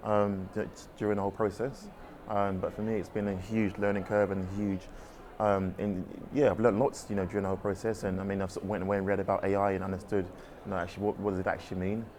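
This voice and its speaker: Artist guy, deep voice, talks fast, lots of sort of and kind of. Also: flat delivery, darkish tone, a little hiss or hum. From London, England. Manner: rambling, hedging with "sort of" and "kind of". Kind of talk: casual interview answers. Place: art exhibition space.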